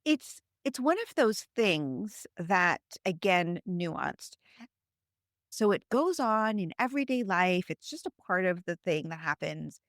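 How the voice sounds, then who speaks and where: A woman from Canada hosting a conversational podcast, phrasing her thoughts in a thoughtful, measured way. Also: fairly low pitch, big pitch swings, a bit bright, no echo, studio quality. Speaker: podcast host.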